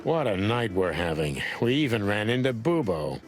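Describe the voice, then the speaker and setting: A man's voice: lowish voice, big pitch swings, normal speed, over music. A man playing a tough, laconic rooster police detective in a noir adventure game, with a dry hard-boiled manner.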